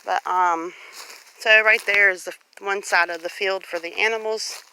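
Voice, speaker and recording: Southern us lady doing a walk n talk vlog on her phone outside, bright sharp voice, bit of background noise. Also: mid-range voice, fairly even intonation, moderate pace, poor audio. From Louisiana, US. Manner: chatty, folksy, explaining while walking. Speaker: homestead vlogger. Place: outdoors on a rural homestead. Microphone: handheld phone. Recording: faint outdoor noise, handheld phone.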